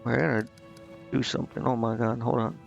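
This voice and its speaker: A man's voice: medium pitch, little pitch movement, a little fast, creaky. A man streaming a video game, reacting in slangy, muttered asides as he plays. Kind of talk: casual game stream commentary, reading dialogue aloud. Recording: muffled, band-limited stream audio with background music. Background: game music.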